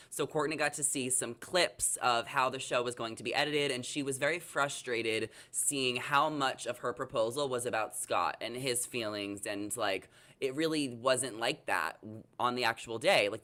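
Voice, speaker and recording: Bright-voiced man hosting a recap chat. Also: mid-range voice. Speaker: talk show host. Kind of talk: casual entertainment recap chat.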